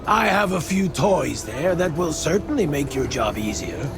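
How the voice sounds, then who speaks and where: Executioner: theatrical menace, gravelly drawl, swoops all over, morbidly cheerful, game villainy. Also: male voice, a little high, sing-song, moderate pace, music in the background. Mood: darkly jovial. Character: swaggering, menacing male executioner, darkly jovial. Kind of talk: video game voice acting.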